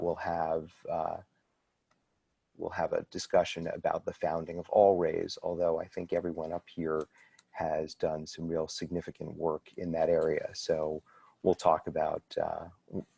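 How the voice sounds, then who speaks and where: A man moderating a panel, introducing topics in a halting way with many fillers. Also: low-pitched, gravelly, mellow, breathy edge, no room sound.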